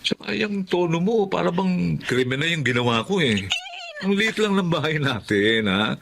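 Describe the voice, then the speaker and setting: A man's voice: on the high side, big pitch swings, moderate pace, vocal fry, fairly bright tone, a little hiss or hum, heavily acted. A man acting an irritable, agitated husband and father in a Filipino radio drama, with an emphatic, repetitive, pleading way of talking. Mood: irritated.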